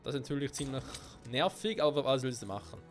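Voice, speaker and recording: A man's voice: medium pitch, expressive, fast. A man with a cold giving casual gameplay commentary, swearing and thinking aloud to himself. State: stuffy-nosed with a cold. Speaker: let's play gamer. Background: faint game music.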